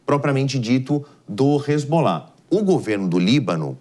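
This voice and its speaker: Male news commentator, Brazilian accent, medium pitch, instructive delivery that stresses key points. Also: very animated, moderate pace, a little mellow. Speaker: news analyst. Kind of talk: live explanatory news commentary.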